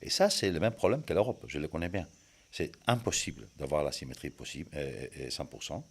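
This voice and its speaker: A man's voice: rumbling low, expressive, a little fast, breathy edge. A man who is not a native French speaker, answering interview questions hesitantly, with many fillers and restarts. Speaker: guest, communication expert.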